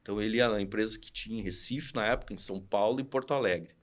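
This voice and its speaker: A man in his forties with a southern Brazilian accent, a podcast guest telling old stories in a slangy, animated way and acting out what people said. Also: fairly low pitch, racing. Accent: southern Brazilian Portuguese. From Rio Grande do Sul, Brazil. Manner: animated, slangy, acts out quoted dialogue. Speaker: podcast guest, studio founder. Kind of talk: casual storytelling chat.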